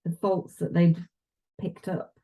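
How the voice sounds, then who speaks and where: A woman with a dark, mellow voice that sits a little low. She talks casually as a co-host giving commentary. Her delivery is reflective and somewhat tentative. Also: little pitch movement, takes it slowly. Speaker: podcast co-host reviewing films.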